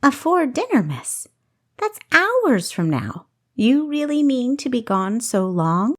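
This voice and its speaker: A woman's voice: high-pitched, sing-song, takes it slowly, voice pushed up, full character voice. A woman voicing a shrewd, folksy servant woman in an audiobook, questioning and familiar. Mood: doubtful.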